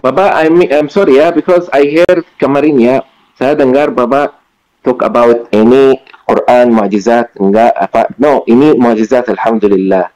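A man who is not a native Indonesian speaker, speaking haltingly in a religious debate and mixing broken Indonesian with English. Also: mid-range voice, a little mellow, rough recording. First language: not Indonesian, still learning it. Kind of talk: informal religious debate on video call. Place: online video call.

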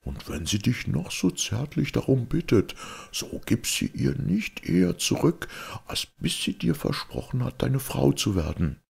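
A man's voice: mid-range voice, very animated, unhurried, creaky, darkish tone, husky, pitched above the performer's own voice, full character voice. A man voicing a wise magical talking cow in a fairy tale, solemnly instructing and persuading. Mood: urging.

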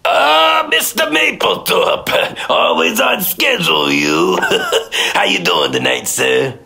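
A man's voice: on the high side, expressive, takes it slowly, creaky, pushed and bright, soft background music, full character voice. An actor playing a chatty, over-friendly, pushy male motel clerk in a radio drama, with an effusive, folksy manner. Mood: jovial, pushy.

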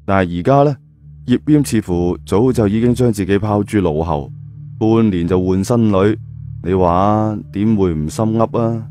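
A mellow, fairly low male voice narrates a story with a Cantonese accent. He is colloquial and dramatic, dropping chatty asides, with soft music underneath. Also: normal speed.

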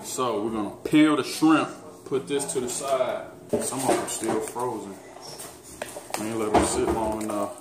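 A man's voice: medium pitch, a little slow. Guy in his 30s cooking at home and joking with viewers, roomy kitchen sound, lots of background noise. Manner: relaxed, teasing, talks straight to viewers. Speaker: fitness vlogger cooking with his daughter. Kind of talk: casual home cooking demo. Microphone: camera mic on the counter.

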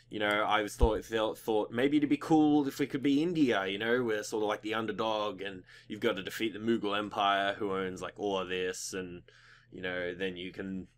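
Slightly croaky, low-voiced young man. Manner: informal, rambling, mildly sweary. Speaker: gaming video host. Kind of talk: casual gameplay commentary.

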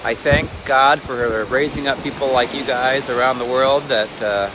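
A man's voice: medium pitch, steady tone, moderate pace. Chatty man vlogging on a windy shore. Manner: chatty, wandering, self-mocking. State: cold. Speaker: vlogger and ministry leader. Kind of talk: casual rambling selfie vlog. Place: outdoors on a windy lakeshore with waves. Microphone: phone held at arm's length. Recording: wind and surf noise on phone audio. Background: waves and wind.

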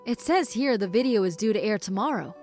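A woman's voice: medium pitch, animated, fairly quick, a little mellow, faint music behind, natural voice. Earnest young female detective, acted by a woman. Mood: thoughtful. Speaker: voice actor in a fan animated film. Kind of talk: scripted amateur dramatic dialogue.

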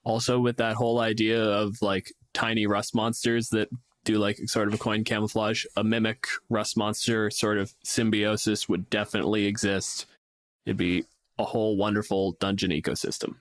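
A man's voice: fairly low pitch, flat delivery, moderate pace, no echo. A man co-hosting a podcast, chatting casually and bluntly with plenty of swearing as he argues his opinions. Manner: blunt, profane. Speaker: podcast co-host and game master. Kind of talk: casual opinionated debate chat.

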